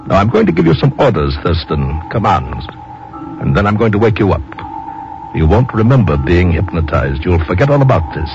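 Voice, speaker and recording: Low-voiced scheming general. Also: male voice, sing-song, fairly quick, vocal fry, full character voice. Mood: commanding, controlled. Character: scheming male general, commanding hypnotist villain. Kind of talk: 1950s radio drama acting. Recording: muffled old radio recording, music behind.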